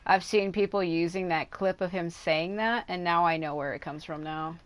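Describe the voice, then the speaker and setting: A woman's voice: fairly low pitch, moderate pace, a little hiss or hum. A woman livestreaming a game, giving casual, rambling, offhand commentary with some swearing. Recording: game music playing behind the voice. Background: game music.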